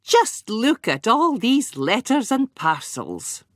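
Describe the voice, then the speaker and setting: A chatty, kindly village postmistress, put on by a male narrator in a lifted falsetto. Her speech is drawn out and sings up and down. The sound is dry and close. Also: wildly swooping pitch, takes it slowly, a bit bright, dry recording, heavily acted. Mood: cheerful.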